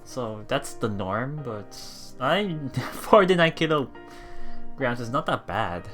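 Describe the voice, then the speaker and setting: A let's player reads a visual novel aloud with casual commentary on the side. The delivery is animated and reactive, with very lively swings in pitch. Game music plays behind the voice. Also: takes it slowly. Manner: animated, reactive, laughs often. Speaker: let's play streamer.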